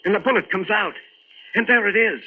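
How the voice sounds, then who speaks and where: A man's voice: sits high, a little fast, natural voice. Male actor as wisecracking radio hero, American, tough, clipped slang, narrow vintage broadcast sound. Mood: brooding, intent. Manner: clipped, slangy hard-boiled delivery. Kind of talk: 1940s radio drama acting. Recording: thin, band-limited old radio broadcast.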